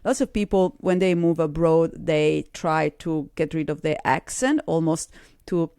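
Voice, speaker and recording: A middle-aged woman from Sardinia speaks English with an Italian accent, her voice low with a gravelly fry. She tells her story in a relaxed, chatty way and acts out the people she quotes. The sound is dry and close. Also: measured pace, no echo. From Sardinia, Italy. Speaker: guest. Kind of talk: casual interview chat.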